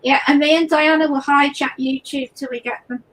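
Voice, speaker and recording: Hoarse woman guest artist, high-pitched, casual and halting as she paints. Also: steady tone, a bit bright, rough recording. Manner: chatty, halting, repeats words. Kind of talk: casual chat while painting live.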